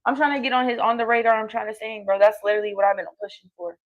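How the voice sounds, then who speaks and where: A woman's voice: on the high side, fairly even intonation, very fast, dull audio. A woman hosting a live stream and chatting casually as a singer, loose and slangy with lots of swearing. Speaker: streamer host.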